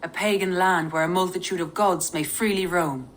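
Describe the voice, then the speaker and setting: A woman's voice: fairly low pitch, moderate pace, a little hiss or hum, close to an everyday voice. An actress playing a composed, businesslike female viking advisor in a video game. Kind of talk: video game cutscene acting.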